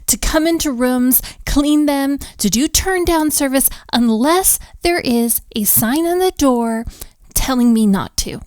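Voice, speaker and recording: A woman playing a flustered, overworked, sharp-tongued hotel maid in a romantic roleplay audio drama, with a sarcastic, exasperated, sighing delivery. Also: high-pitched, a little slow, no echo, clean studio sound. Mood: indignant, exasperated.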